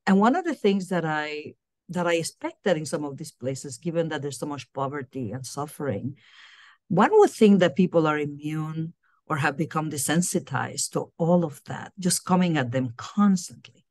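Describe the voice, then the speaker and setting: A woman's voice: deep voice, lively intonation, moderate pace, a little mellow, no echo. Earnest middle-aged woman on a video call. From Puerto Rico. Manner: earnest, repeats words while searching for phrasing. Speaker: guest, university diversity director. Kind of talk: reflective interview answers. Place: small home room, indoors. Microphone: laptop webcam on video call.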